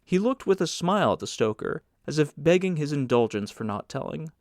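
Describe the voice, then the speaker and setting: A man's voice: fairly high pitch, animated, a little fast, darkish tone, no echo, studio quality. A man reading scripted literary narration as an audiobook narrator.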